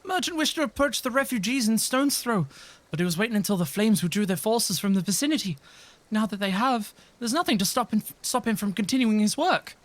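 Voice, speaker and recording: A man's voice: sits high, lively intonation, fairly quick, no echo. A man voicing a grave, anguished video game character, speaking in an earnest, formal, theatrical way. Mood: grave, pleading. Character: grave, anguished game character pleading against a futile cause. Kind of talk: dramatic read-aloud of video game dialogue.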